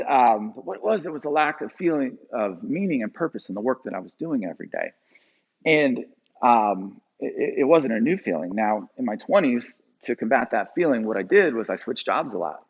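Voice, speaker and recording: A man in his forties from Pennsylvania, telling a personal story to a live audience with animated delivery and frequent fillers. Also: mid-range voice, breathy edge, lo-fi sound. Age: 40s.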